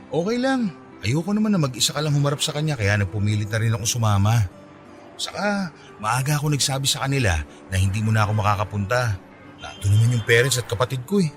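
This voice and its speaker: A man playing a kind, persistent, bashfully smitten young office junior in a Tagalog radio drama, speaking gently and coaxingly. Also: medium pitch, expressive, brisk, pauses often, over music, lightly acted. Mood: reassuring.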